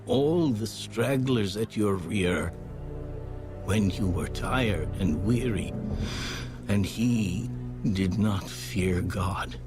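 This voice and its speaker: A man giving a solemn, measured scripted reading of scripture as an audio Bible narrator. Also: fairly low pitch. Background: background music.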